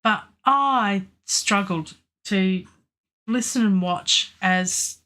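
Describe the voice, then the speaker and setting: A woman's voice: medium pitch, extremely slow, bright, forceful tone. Chatty Australian woman, cough-worn voice. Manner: chatty, rambling, many ums and restarts. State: recovering from a virus, lingering cough and weak voice. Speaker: music producer streaming live. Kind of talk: casual live-stream talk about mixing a track.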